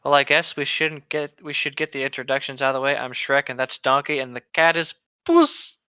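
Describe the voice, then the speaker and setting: A man's voice: medium pitch, animated, brisk, pushed and bright, clean tone, no room sound. A man doing a casual comic read-aloud with irreverent joking asides, on a slightly dull close recording. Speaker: fan fiction podcast reader.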